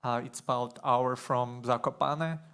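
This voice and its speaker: A young man from Slovakia giving a conference talk on stage, nervous and halting, with many fillers and restarts. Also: medium pitch, fairly even intonation, fairly bright tone, clear, resonant tone. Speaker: conference speaker.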